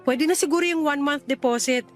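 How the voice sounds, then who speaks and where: Woman acting thirties landlady, teasing, guarded, practical, conversational radio drama, soft music underneath. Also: high voice, a little fast, lightly acted. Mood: helpful, curious.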